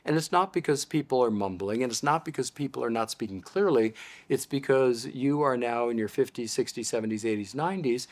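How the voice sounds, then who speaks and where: A man's voice: medium pitch, fairly quick. Older American male expert guest, explanatory, patient interview delivery. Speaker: audiologist guest.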